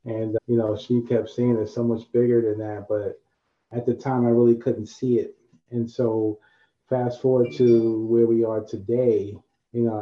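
Low flat-voiced man in a roomy room. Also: dull audio. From New York City. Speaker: guest, agriculture official. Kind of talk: video call panel talk.

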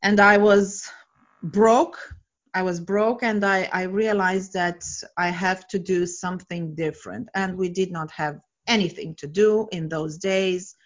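A woman's voice: lowish voice, fairly even intonation, unhurried, lo-fi sound. A woman in her forties, a guest coach telling stories earnestly and enthusiastically in a casual interview. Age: 40s.

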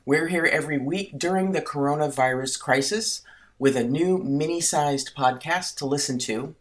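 A woman from the United States co-hosting a podcast, animated and giggly, reading summaries aloud. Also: low voice, normal speed. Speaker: podcast co-host. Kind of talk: casual chat.

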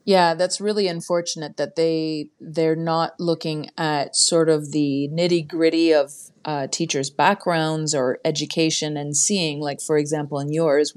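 A woman hosts a casual podcast interview. Her voice sits very low for a woman, and she strings long, eager questions together, chatting freely. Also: a little mellow. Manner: enthusiastic, asks long, winding questions. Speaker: podcast host.

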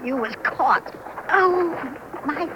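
Swooping, complaining pioneer wife. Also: female voice, high voice, expressive, drawn-out words, lo-fi sound, full character voice. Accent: American. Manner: plain-spoken rustic, complaining. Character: weathered, nagging pioneer wife of an ex-convict. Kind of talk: radio western acting. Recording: noisy old radio drama recording.

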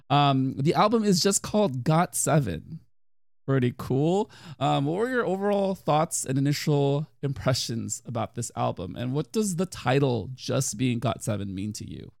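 Casting: young male host, gravelly voice, dry close sound, teasing and rambly. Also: mid-range voice, animated, normal speed, no room sound. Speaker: podcast host. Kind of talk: casual chat.

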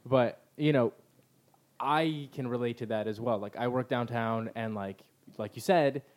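A man's voice: medium pitch, unhurried, darkish tone. A man from Southern California hosting a podcast, chatty, often stammering and restarting sentences. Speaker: podcast host. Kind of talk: casual conversation.

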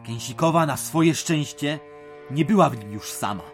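An actor as the storytelling narrator of a village legend, measured and scripted in a radio drama. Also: male voice, fairly high pitch, animated, soft background music. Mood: suspenseful.

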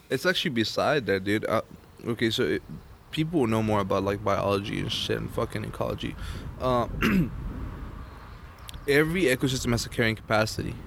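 Profane, excitable young man explaining. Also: lowish voice, brisk, creaky, long pauses, a little hiss or hum. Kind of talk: casual podcast chat. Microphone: shared podcast microphone.

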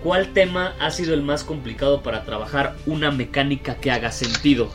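A man with a mid-pitched voice hosts a laid-back chat, reading questions with plenty of fillers. Music plays clearly underneath him. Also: fairly quick. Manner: relaxed, filler-heavy, conversational. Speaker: podcast host reading listener questions. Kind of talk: casual interview chat.

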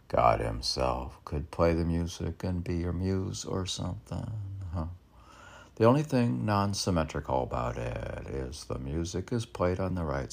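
A man reads poetry aloud casually, rambling into his own commentary and musing haltingly, as if arguing with himself. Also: deep voice, vocal fry. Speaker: poet reading own poems aloud.

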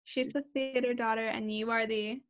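Giggly young woman, slightly high voice. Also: level tone, moderate pace, dull audio. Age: young adult. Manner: giggly, casual, lots of "like". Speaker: podcast co-host. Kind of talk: casual chat over video call. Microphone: video call.

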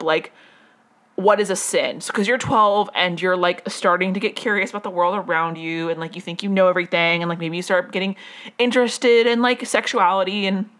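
A woman's voice: medium pitch, a bit bright. A young woman casually telling a personal story at home, rambling with many fillers and restarts.

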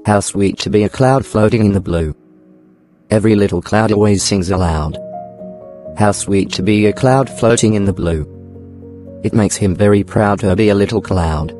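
A man playing a naive, earnest toy bear who loves honey, pondering with gentle self-importance in a storybook dramatisation. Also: on the low side, normal speed, natural voice. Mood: singsong musing. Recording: background music.

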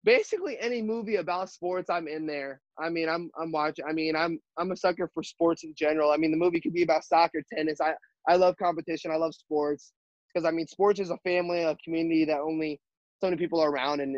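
A teenage high school quarterback with a high-pitched voice, answering interview questions earnestly with many fillers and restarts. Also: male voice, no room sound. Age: teens. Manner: halting, filler-heavy, earnest. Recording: dull, muffled audio.

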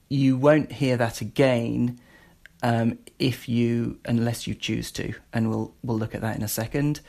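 A man's voice: mid-range voice, gravelly, darkish tone. A British man giving an informal spoken demonstration as a presenter, rambling a little and feeling his way through. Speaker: presenter demonstrating a voice assistant skill.

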